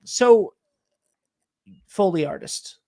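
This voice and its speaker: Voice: medium pitch, animated, very slow, crowd noise. An interview host asking questions in casual conversation.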